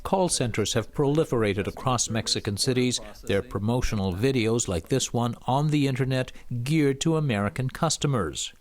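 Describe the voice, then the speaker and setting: A man narrating a news report in a measured, scripted delivery. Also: medium pitch. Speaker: news correspondent.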